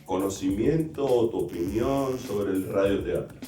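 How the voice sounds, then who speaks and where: A man's voice: fairly low pitch, a little slow, warm tone. An Argentine man hosting a casual, chatty interview. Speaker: interviewer, host. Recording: roomy, slightly distant mic, faint music.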